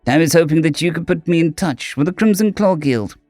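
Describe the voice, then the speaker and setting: A man's voice: fairly high pitch, expressive, a little fast, darkish tone, no echo, voice pushed up, close to an everyday voice. A man voicing a nervous young male alien envoy who stammers anxiously, in a dry recording with faint music behind. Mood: earnest.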